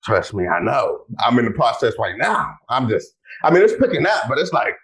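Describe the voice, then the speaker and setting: A man hosting a casual podcast, talking in an animated way, exclaiming loudly and speaking straight to his listeners. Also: mid-range voice, fairly quick, slightly rough. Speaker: podcast host.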